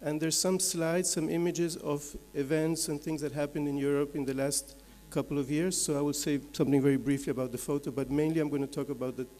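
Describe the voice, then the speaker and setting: A man from Belgium, giving a measured, explanatory slide presentation as a conference speaker, point by point. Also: a little high, fairly even intonation, warm tone. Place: large conference hall. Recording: echoey hall with long reverb.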